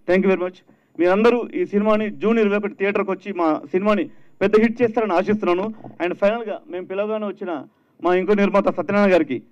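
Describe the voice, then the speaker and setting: A man's voice: high-pitched, darkish tone. A man, a film director, giving a promotional thank-you speech at a launch event, mixing in English words. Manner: talks with frequent English words. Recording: dull, lo-fi event PA recording.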